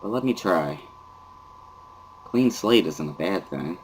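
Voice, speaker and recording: A man's voice: sits low, lively intonation, takes it slowly, a little hiss or hum, rough recording. A man giving a somber, weary first-person dramatic reading of a visual novel as narrator.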